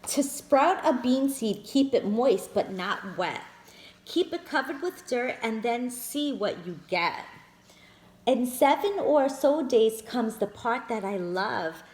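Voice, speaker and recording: Slow, lively woman reading to children. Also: on the high side. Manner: addresses listeners as friends, pointing out pictures. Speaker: children's storyteller. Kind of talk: reading a picture book aloud to children. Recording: echoey room.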